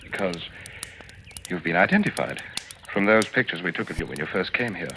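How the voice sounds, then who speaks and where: Probing, animated police sergeant. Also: male voice, low voice, exaggerated voice. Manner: deliberate, halting, insinuating. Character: probing male police detective sergeant, polite but suspicious. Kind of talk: old-time radio drama acting. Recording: vintage radio recording, faintly noisy.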